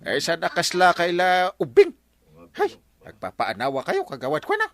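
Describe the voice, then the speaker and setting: A man's voice: high-pitched, huge pitch swings, normal speed, exaggerated voice. A man with an Ilocano accent acting an exasperated, scolding village captain in a radio comedy-drama.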